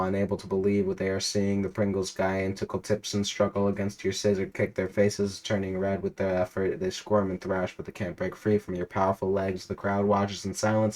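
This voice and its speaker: A man's voice: sits low, rough recording. A man narrating an absurd interactive story aloud in a theatrical, emphatic way. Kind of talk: dramatic read-aloud of absurd interactive fiction.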